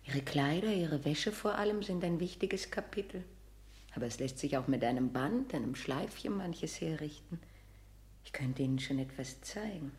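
A worldly, matchmaking neighbour woman, talks in a slightly low voice. She is sly and conspiratorial, with florid, emphatic phrasing in the manner of 1960s German radio drama. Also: lively intonation, moderate pace, a little mellow. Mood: coaxing.

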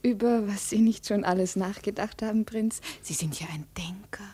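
An actress playing a spoiled, imperious young princess in a 1950s radio play, formal and dramatic with breathy pleading. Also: female voice, medium pitch, normal speed, mellow, lightly acted. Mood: wry, softening. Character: haughty, spoiled young Chinese princess, imperious yet yearning.

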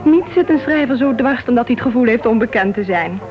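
A woman's voice: on the high side, lively intonation, fairly quick, natural voice. An actress playing a gossipy, sociable committee woman of a writers' club in a Dutch radio play, chatty and easily piqued. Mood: chatty, informative. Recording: tinny band-limited old radio recording, music behind.

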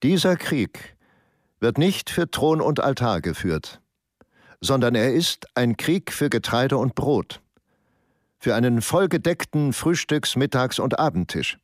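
A man's voice: medium pitch, very animated, measured pace, no room sound, studio quality. A man voicing a cold Nazi official in a radio drama, declaiming war aims in an oratorical manner. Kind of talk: documentary radio drama reading.

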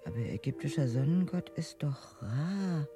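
An actress playing a weary, stubborn housewife who nurses a sick husband in a radio drama, speaking in a halting, reciting way. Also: female voice, sits low, lively intonation. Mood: pedantic, stubborn. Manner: slow, halting recitation, reciting chores and puzzle words to herself. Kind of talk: German radio drama acting. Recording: studio radio play with music underneath.

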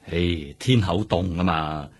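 Low-voiced, jaded veteran Hong Kong detective. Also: male voice, takes it slowly, slightly rough, full character voice. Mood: wry, world-weary. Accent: Hong Kong Cantonese. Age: 40s. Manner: colloquial, philosophizing. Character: jaded hard-drinking veteran detective, forty, world-weary and streetwise. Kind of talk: radio drama acting.

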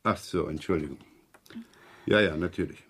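A man's voice: low voice, moderate pace, fairly bright tone, husky, no room sound, full character voice. A man acting a shrewd, rumpled, talkative provincial police inspector in a radio crime drama, probing and rambling with wry repetitions. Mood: businesslike, probing.

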